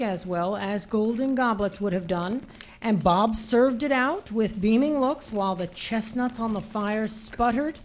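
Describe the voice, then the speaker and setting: A woman narrating a live radio drama, giving a measured, expressive reading of a scripted story. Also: on the high side, slight background noise.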